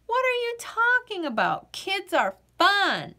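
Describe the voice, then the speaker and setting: A woman voicing an energetic, indignant and imaginative little girl from a storybook, with emphatic, childlike delivery. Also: very high voice, slow, pitched above the performer's own voice, heavily acted. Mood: eager, insistent.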